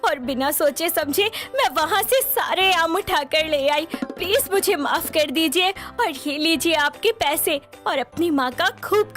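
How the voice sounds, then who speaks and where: A woman voicing a headstrong, whiny young wife in an animated moral story. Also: high-pitched. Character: sulky, headstrong young wife who loves mangoes.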